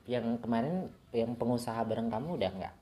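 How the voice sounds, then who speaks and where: A woman's voice: extremely low pitch, a little fast, dark tone. A woman from Papua, Indonesia, chatting casually in a joking, teasing way as a business owner being interviewed. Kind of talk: casual chat. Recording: echoey room.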